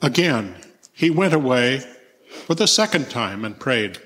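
Male scripture reader for narration, bright and forceful, recorded in a reverberant church. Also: medium pitch, very animated, unhurried. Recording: echoey church hall, long reverb.

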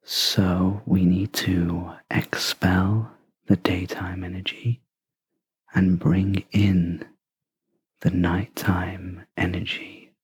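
A guide leading a sleep meditation in a breathy half-whisper, gentle and soothing, with long pauses between short phrases. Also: low voice, level tone, no echo. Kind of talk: guided sleep meditation, soft scripted narration.

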